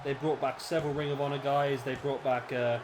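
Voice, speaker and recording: A man, his voice a little hoarse, doing casual play-by-play commentary over a wrestling video game, rambling with fillers and correcting himself. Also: mid-range voice, flat delivery, brisk, noisy background. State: slightly hoarse, losing his voice. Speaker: gameplay commentator.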